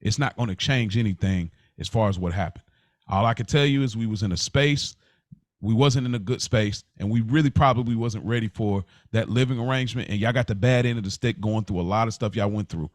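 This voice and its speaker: Low-voiced man telling a personal story. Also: brisk, no echo, clean studio sound. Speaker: podcast co-host. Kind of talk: casual personal storytelling in conversation.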